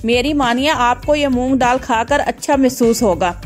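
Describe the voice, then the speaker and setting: A woman playing a bossy, high-voiced daughter-in-law. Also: fairly even intonation, moderate pace, faint music behind, close to an everyday voice. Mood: scolding. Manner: scolding, bossy. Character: firm young daughter-in-law, strict about healthy food. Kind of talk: cartoon voice acting.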